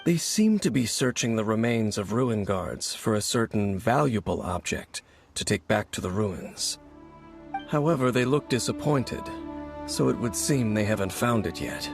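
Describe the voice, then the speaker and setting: Brooding male warrior, low pitch, gravelly creak, solemn, measured, formal, cryptic video game character, music behind. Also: lively intonation. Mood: grave, analytical. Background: background music.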